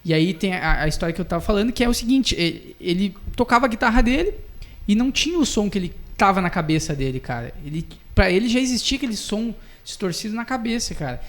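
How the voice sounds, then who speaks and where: A man's voice: high-pitched, big pitch swings, quick pace, fairly bright tone. A young man, co-hosting a casual podcast chat, telling stories with enthusiasm, slang and lots of fillers. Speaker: podcast co-host.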